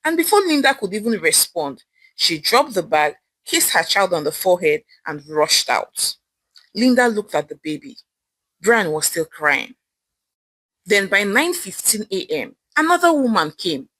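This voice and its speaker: A woman's voice: mid-range voice, big pitch swings, measured pace, fairly bright tone, no room sound, poor audio. A woman with a Nigerian accent narrating a folktale as an oral storyteller.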